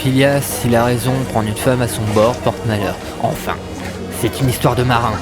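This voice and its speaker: A man acts an earnest former soldier turned hero in a comic audio drama. His mid-range voice sounds explanatory and a bit exasperated, with loud music underneath. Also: mid-range voice, fairly even intonation, moderate pace, lightly acted. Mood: reassuring. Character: earnest, easily flustered young ex-soldier hero.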